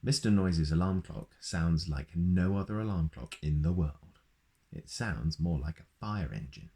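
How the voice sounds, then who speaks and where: A man's voice: deep voice, lively intonation, moderate pace, dark tone. A man reading a children's picture book aloud in a playful way, adding sound effects. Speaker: storyteller.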